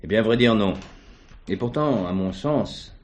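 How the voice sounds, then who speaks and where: An actor playing a courteous, unhurried police commissioner in a theatrical drama, speaking in a measured, polite way. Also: male voice, on the low side, expressive, natural voice. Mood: candid, measured. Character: unhurried, courteous male police commissioner investigating an old case. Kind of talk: French stage or TV play acting.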